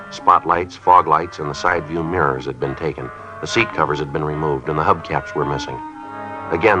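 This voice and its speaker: An American actor playing a stoic, businesslike police sergeant in a 1950s radio drama, terse and matter-of-fact. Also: male voice, a bass voice. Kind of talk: 1950s radio acting, clipped procedural narration. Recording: muffled old radio recording with music.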